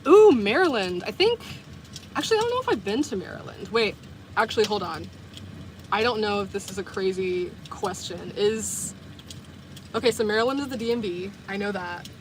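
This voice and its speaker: A woman's voice: medium pitch, expressive, normal speed, rough recording. Chatty young woman with background noise. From US South. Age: 20s. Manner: chatty, rambling, full of "like" and self-corrections. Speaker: vlogger and livestreamer. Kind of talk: casual chat with viewers.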